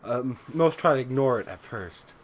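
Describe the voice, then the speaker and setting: A young man co-hosting a let's play, chatting casually and haltingly reading game text. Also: medium pitch, animated. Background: background music.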